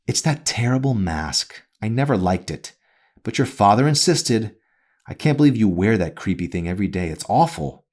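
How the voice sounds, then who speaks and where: A man's voice: lowish voice, sing-song, normal speed, darkish tone, voice pushed up. A man voicing an anxious, critical mother in an audiobook, fretful and prying in manner. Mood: disapproving. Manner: fretful, prying, over-earnest. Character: Amy, anxious, critical, prodding mother of a teenage girl.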